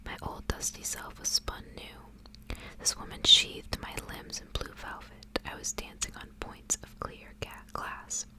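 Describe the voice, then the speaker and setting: A woman reading a literary tale aloud for ASMR in a hushed, unvoiced whisper. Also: unhurried. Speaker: ASMR reader.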